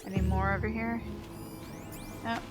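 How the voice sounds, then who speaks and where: A woman's voice: mid-range voice, flat delivery, a little slow. A woman giving casual, off-the-cuff commentary as she plays a video game. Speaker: gaming video host. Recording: game music behind the voice. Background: game music.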